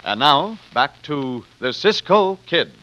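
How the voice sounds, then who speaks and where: A man's voice: a little high, very animated, slow, bright, forceful tone, exaggerated voice. A man announcing and narrating a dramatic radio serial in an old-time broadcast style. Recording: dull old radio recording. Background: organ music.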